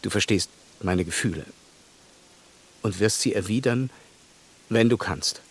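An actor playing an earnest, plain-spoken, lovestruck English gentleman in a German radio play, speaking haltingly and tenderly. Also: on the low side, husky. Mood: ardent, tender.

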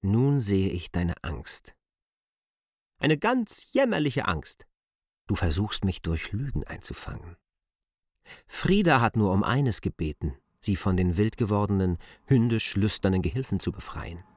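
A stern, accusing surveyor. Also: male voice, on the low side, sing-song, a little mellow, no echo, clean studio sound. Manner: cold, reproachful, slow and deliberate. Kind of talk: radio play acting.

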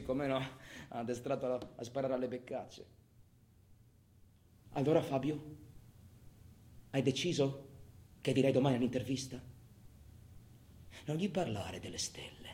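A man playing a cocky, menacing robber who taunts his partner in crime in a theatrical monologue, swinging between loud bragging and quiet menace. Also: mid-range voice. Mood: boastful, sneering. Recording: echoey room, faint background noise.

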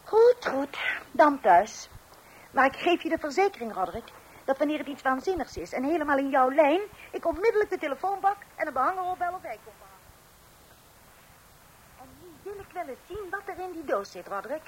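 A woman's voice: high voice, sing-song, fairly quick, low-quality recording. An actress in a scripted radio comedy playing a long-suffering, sceptical housewife and mother with a wry, theatrical manner. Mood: insistent. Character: exasperated, sceptical middle-aged housewife and mother. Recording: hissy old radio play recording.

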